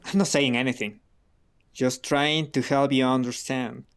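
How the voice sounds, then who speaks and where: An actor playing a guarded, defiant radical under questioning in a radio drama, terse and defensive. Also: male voice, mid-range voice, steady tone, unhurried, clear, resonant tone, natural voice. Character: guarded, defiant male radical being interrogated.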